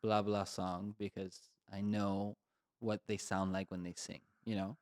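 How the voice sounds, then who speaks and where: A man's voice: lowish voice, flat delivery, a little slow, dry recording, studio quality. A man from Argentina co-hosting a casual podcast, thinking aloud in a rambling way and filling his speech with "like" and "you know". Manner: thoughtful and rambling, heavy use of "like" and "you know". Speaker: podcast co-host and composer. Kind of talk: casual conversation.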